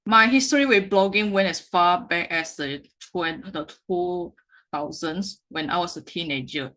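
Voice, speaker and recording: A woman, a Mandarin speaker, giving a slide presentation with frequent halting self-corrections. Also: on the low side, bright, forceful tone. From Malaysia. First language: Mandarin Chinese. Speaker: meetup speaker.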